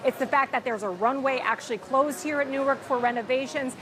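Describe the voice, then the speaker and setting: High-voiced woman reporting amid noise. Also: a little fast. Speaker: field reporter. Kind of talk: live on-location news report. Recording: noisy airport location sound. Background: clear airport ambient noise.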